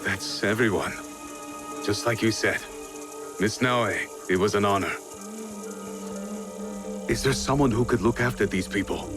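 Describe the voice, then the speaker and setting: A man's voice: lowish voice, measured pace, pauses often, natural voice. An actor playing a loyal, earnest male ally in a video game, polite and deferential. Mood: respectful. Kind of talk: cinematic video game acting. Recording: background music under the voice.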